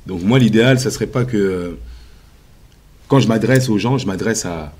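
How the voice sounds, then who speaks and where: A man answering questions as a musician guest in a TV interview, confident and emphatic, with frequent filler pauses. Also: fairly low pitch, darkish tone. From Congo.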